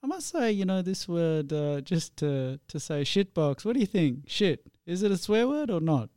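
A man's voice: fairly high pitch, sing-song, normal speed, dark tone, smooth, no echo, clean studio sound. A man originally from India who hosts the interview, chatting in a friendly, rambling way with many fillers. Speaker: host.

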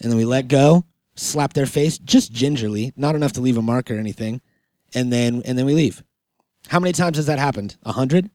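A man's voice: mid-range voice, a little fast, a little mellow, dry recording. A man hosting a comedy podcast in casual chat, joking, profane and rambling. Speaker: podcast host.

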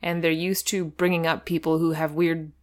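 A woman's voice: deep voice, flat delivery, moderate pace. A woman co-hosting a podcast, moving between dramatic storybook narration and casual, amused chat. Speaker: podcast co-host and reader.

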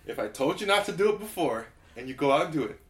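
A young man talking as a podcast guest in casual chat, quiet-voiced, blunt and joking. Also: a little high, expressive, fast talker.

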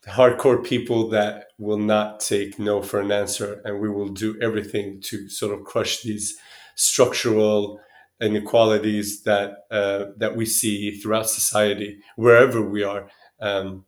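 A man in his thirties from Sweden speaking reflectively as an interview guest, often pausing and restarting as he searches for words. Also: on the low side. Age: 30s.

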